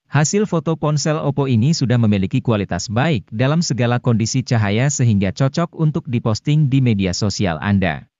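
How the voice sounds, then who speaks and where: Mellow man, dry scripted narration. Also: mid-range voice, no room sound, lo-fi sound. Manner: formal, informative. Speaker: narrator of gadget review videos.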